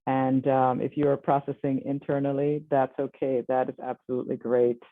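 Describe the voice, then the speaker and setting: Calm reassuring woman, tinny line. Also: rumbling low, moderate pace. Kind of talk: online workshop discussion.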